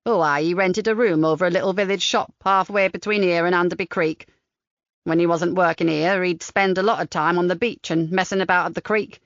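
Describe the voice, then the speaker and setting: A woman voicing a chatty, kindly old countryman gardener with a broad Lincolnshire dialect, slow and lower than her own voice. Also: steady tone, no room sound, lightly acted. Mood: folksy. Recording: slightly dull dry recording.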